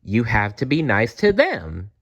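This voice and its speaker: A man plays a magical stuffed bear from a children's storybook, gentle and wise with a giggly streak. The delivery is coaxing and earnest, swinging up and down in pitch at an unhurried pace. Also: huge pitch swings, exaggerated voice. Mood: kindly advising.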